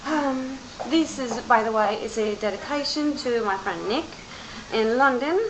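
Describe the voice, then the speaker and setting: A woman's voice: on the high side, measured pace, a little hiss or hum, low-quality recording. A young woman vlogging at home, rambling casually to her camera about packing and personal things. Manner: chatty, rambling, loose and informal. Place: home room among moving boxes.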